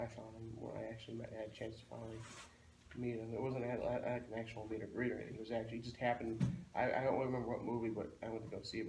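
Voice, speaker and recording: A man's voice: mid-range voice, creaky, a little mellow. A man chatting casually as a fellow comic book fan, recorded in a noisy, echoey room. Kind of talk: casual chat.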